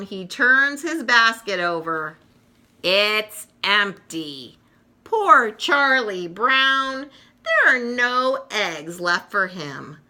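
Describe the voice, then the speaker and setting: Woman, children's storybook narration, very bright tone, lively pitch swings, expressive delivery. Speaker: storybook reader.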